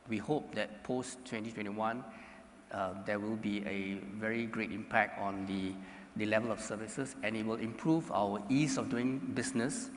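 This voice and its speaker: A man from Singapore, speaking as a panelist in a measured, halting way with many fillers. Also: lowish voice, a little hiss or hum. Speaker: panelist, government economy official. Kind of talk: conference panel answer. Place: conference hall panel stage. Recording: reverberant conference hall.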